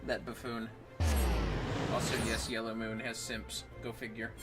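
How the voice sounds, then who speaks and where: A man's voice: mid-range voice, little pitch movement, unhurried. A man casually commentating on a video game as a livestream co-host. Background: game music.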